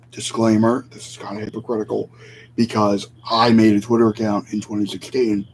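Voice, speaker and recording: A man's voice: lowish voice, a little mellow, a little hiss or hum, rough recording. A man vlogging in a casual, rambling rant, opinionated and often correcting himself mid-sentence.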